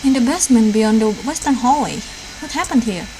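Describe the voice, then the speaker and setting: A woman's voice: on the high side, animated, a little fast, a little mellow, poor audio. A woman doing a let's play, reading visual novel dialogue aloud with casual asides in a raw home recording. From Indonesia. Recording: clear background noise, raw home recording.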